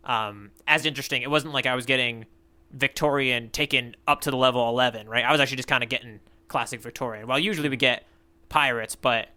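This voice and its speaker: A man's voice: mid-range voice, fairly even intonation, fast talker, bright, forceful tone. A man co-hosting a podcast, talking in a casual, rambling way with lots of fillers and restarts. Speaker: podcast co-host. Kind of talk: casual chat.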